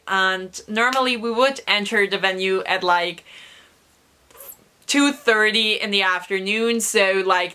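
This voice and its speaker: A young woman vlogger with a hoarse voice from a recent cough, chatting through an excited story with many fillers. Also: medium pitch. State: hoarse, recovering from lost voice. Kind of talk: casual storytelling vlog.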